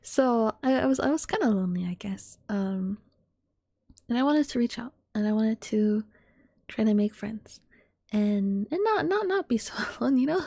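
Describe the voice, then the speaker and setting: A woman of twenty-one chatting to her stream in a soft ASMR whisper, rambling and giggly. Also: fairly high pitch, lively intonation, moderate pace, vocal fry, no echo, studio quality.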